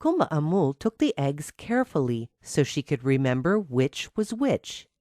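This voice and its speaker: Female storyteller for children's fairy tales: low register, very animated intonation, dry close sound. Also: moderate pace, no echo. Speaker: storyteller, narrator. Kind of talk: scripted children's fairy tale narration.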